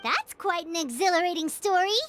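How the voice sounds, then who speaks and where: A woman voicing a small, chirpy, curious fairy companion in an anime video game, with a squeaky childlike voice. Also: expressive, fairly quick, fairly bright tone, faint music behind. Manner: refers to itself in third person, exclaims.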